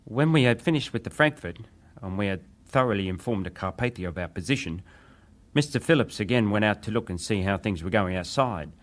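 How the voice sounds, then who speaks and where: A deep-voiced young ship's wireless operator , played by a male actor, testifies at a dramatized hearing. He answers with measured deference, sometimes hesitating, as a survivor choosing his words. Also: animated, lightly acted. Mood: subdued, formal.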